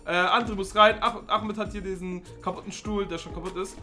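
A man in his twenties hosting a gaming video with loud, excitable, slangy joking commentary, close on the mic. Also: high-pitched, a little fast, soft background music. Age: 20s. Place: small indoor streaming room.

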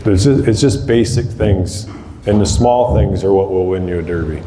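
A man's voice: low voice, moderate pace, warm tone. A man in his thirties giving a practical, plainspoken talk to a small audience, with frequent ums and restarts, recorded by a camera across a large hall. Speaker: demolition derby builder giving a seminar. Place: large hall with rows of empty chairs, indoors. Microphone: far camera across the room. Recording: echoey hall, faint background hiss.

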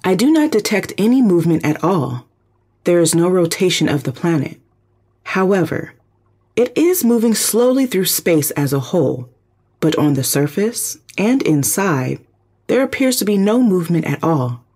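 A performer voicing a calm, precise spaceship computer in an audio drama, speaking formally and literally. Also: medium pitch, moderate pace, breathy edge. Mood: analytical, calm. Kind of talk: audio drama, analytical reporting.

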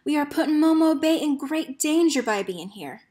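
An earnest, protective young soldier boy from a storybook, read by a woman. He talks in folksy country speech, pitched fairly high. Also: lively intonation, normal speed, dark tone. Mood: urgent, concerned.

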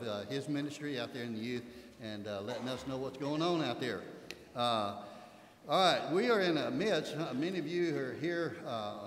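An older man with an East Texas accent giving folksy church announcements from a pulpit, with many filler uhs. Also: on the high side. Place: large church sanctuary with choir behind. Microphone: pulpit mic. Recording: long reverb of a big sanctuary.

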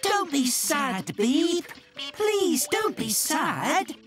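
A woman voicing Double E, cheerful twin letter blocks in a children's cartoon, bubbly and excited, over music. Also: sits high, extremely slow, heavily acted. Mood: gentle.

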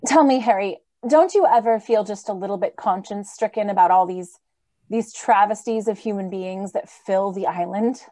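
A woman's voice: mid-range voice, normal speed, dark tone, close to an everyday voice. A woman in her thirties playing an earnest, anxious idealistic wife in old-time radio drama style. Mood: doubting.

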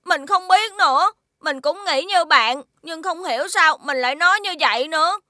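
A woman's voice: very high voice, normal speed, sharp tone, no room sound, studio quality. A woman voicing a small, childlike, earnest alien kid in a children's cartoon. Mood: puzzled, dismayed. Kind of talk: children's animated series dubbing.

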